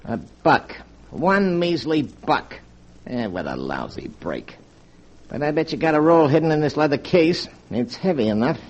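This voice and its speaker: A man's voice: a little high, very animated, measured pace. An actor playing a tough male street robber with streetwise gangster slang, in a 1940s radio drama. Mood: menacing. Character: tough-talking male street stick-up man. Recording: dull vintage radio recording with music.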